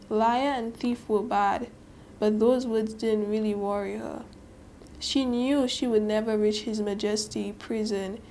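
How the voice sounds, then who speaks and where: High-pitched Saint Lucian teenage girl reading aloud. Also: darkish tone, slight background noise. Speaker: student reader. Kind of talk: scripted reading aloud and commentary.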